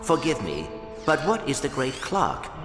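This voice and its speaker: An innocent, well-mannered little robot from a video game. The speech is formal and earnest, mid-pitched but very lilting, echoing in a large hall with music. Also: male voice, wildly swooping pitch, measured pace. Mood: curious, earnest. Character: polite, curious, innocent small robot. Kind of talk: video game cutscene acting. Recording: reverberant hall, loud music behind.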